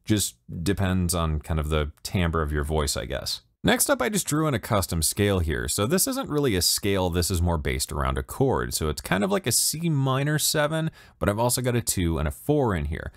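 A young man with a low voice talks through a production tutorial in a casual, chatty way, full of easygoing fillers. His pitch sits on the deeper side but swings widely as he explains. He speaks into a studio condenser mic in a small home studio. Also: normal speed, few pauses. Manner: relaxed, chatty, many fillers like "kind of" and "you know". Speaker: music producer and tutorial host. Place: home music studio with monitors, indoors. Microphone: studio condenser mic on stand, wearing headphones.

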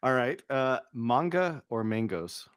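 A middle-aged man hosting a casual interview over a video call, upbeat with quick reactions, speaking into a studio mic. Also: mid-range voice, big pitch swings, clean tone, no echo. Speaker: interview host. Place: home studio. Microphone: boom studio microphone with headphones.

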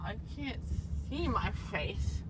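A woman's voice: mid-range voice, big pitch swings, takes it slowly. A young woman, groggy and numb-mouthed after dental surgery, rambling sillily and slipping into sing-song bits from a car's passenger seat. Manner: silly, slurred, breaks into sing-song. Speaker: patient after wisdom teeth removal. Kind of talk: loopy rambling chat. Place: passenger seat of a moving car. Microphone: phone camera held by driver. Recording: car road noise behind.

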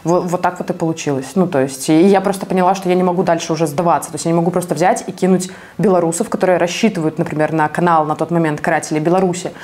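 A woman from Belarus with a slightly low voice speaks as an interviewee. Her answers are conversational and somewhat halting, as she works out her thoughts while talking. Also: fairly even intonation, a little mellow. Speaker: activist interviewee.

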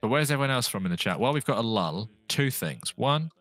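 A man's voice: mid-range voice, expressive, fast talker, a bit bright, no room sound. A man from Britain co-hosting a game stream, politely reading out chat and thanking subscribers. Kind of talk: casual chat while gaming. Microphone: gaming headset.

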